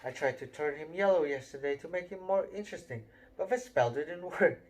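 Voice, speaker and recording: A man voicing a chatty, blunt young boy wizard who is excitable and quick to grumble. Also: fairly high pitch, a little hiss or hum, lightly acted.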